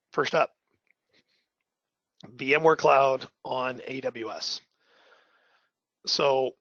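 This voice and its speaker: A man with a cold-roughened, raspy voice presents a technical webinar in a relaxed, upbeat, conversational way. His recording sounds dry and a little dull, with no room echo. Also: medium pitch. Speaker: technical trainer.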